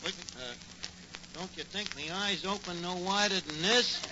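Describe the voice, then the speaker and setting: An actor playing a boastful, bumbling tavern manager with a New York accent and mangled grammar, in a 1940s radio comedy. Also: male voice, high voice, normal speed, lightly acted. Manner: blustering, malapropism-prone, mangled grammar. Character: boastful bumbling tavern manager, a tall-tale-spinning phony. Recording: noisy muffled old radio recording.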